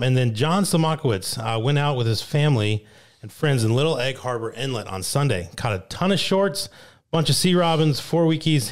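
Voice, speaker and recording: A young man with a New Jersey accent hosting a weekly fishing report, reading catches from notes at a boom mic in a small studio. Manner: upbeat, reads names and catches in quick lists. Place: small studio with brick wall, indoors. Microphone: boom mic on arm, headphones.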